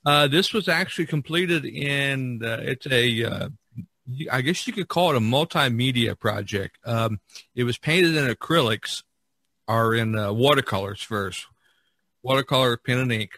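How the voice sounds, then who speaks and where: A middle-aged or older man, a painter and podcast host, talking casually about art in a halting, searching way. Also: mid-range voice, bright, forceful tone, no room sound.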